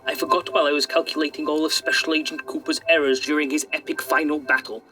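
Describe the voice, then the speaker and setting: Fussy high-voiced Welsh robot played by a man. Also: steady tone, quick pace, natural voice, rough recording. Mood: smug, pedantic. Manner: pedantic, wordy, over-precise with figures. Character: fussy, senile male robot AI running galactic control centres. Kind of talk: comic sci-fi audio drama acting.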